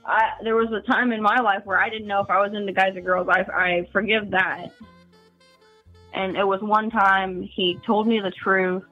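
A woman's voice: mid-range voice, flat delivery, moderate pace, bright, forceful tone. A teenage woman calls in over a tinny, phone-like line with faint music behind. She talks earnestly and openly and giggles now and then. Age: teens. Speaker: caller. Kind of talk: casual phone call.

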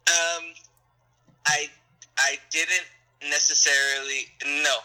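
Young guy actor being interviewed, super bright voice, rambly and laughy. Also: on the high side, flat delivery, slow pace, low-quality recording. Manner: friendly, rambling, laughs often, many restarts. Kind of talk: casual interview answers.

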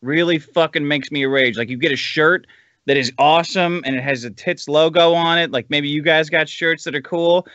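A man's voice: fairly high pitch, moderate pace, bright, forceful tone, no echo, dull audio. A man appearing as a podcast guest, ranting casually and comedically in a crude, sardonic, profane way. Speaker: podcast guest, show host himself.

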